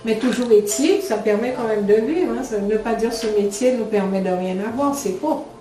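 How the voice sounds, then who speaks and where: A woman's voice: on the high side, normal speed, mellow, slight background noise. A woman giving an interview testimonial as a home care worker, speaking in a plain, conversational way. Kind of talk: interview testimonial about their job. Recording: echoey room, distant mic, music bed. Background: music.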